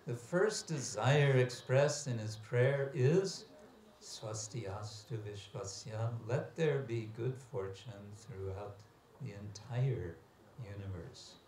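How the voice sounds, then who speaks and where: Slow, deliberate male lecturer in echoey room. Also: medium pitch, a little mellow. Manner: deliberate, emphatic phrasing with dramatic pauses and questions to listeners. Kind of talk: scriptural lecture, reading aloud with commentary. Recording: echoey room with background hiss and ambience.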